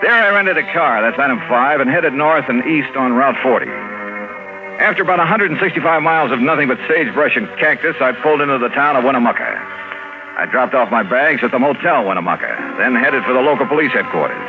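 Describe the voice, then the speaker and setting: An actor playing a brisk, wry insurance investigator in a 1950s radio detective drama, with crisp, punchy delivery. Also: male voice, medium pitch, animated, close to an everyday voice. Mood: brisk narrating. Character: brisk, confident male freelance insurance investigator, wry and loyal. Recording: tinny vintage radio recording, music behind.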